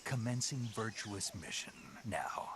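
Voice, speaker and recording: An actor playing a stoic special forces soldier in a video game, speaking tersely in a gravelly, hushed growl. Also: male voice, lowish voice, lively intonation, a little slow, noisy background. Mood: businesslike. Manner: terse, questioning. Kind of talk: dramatic video game acting.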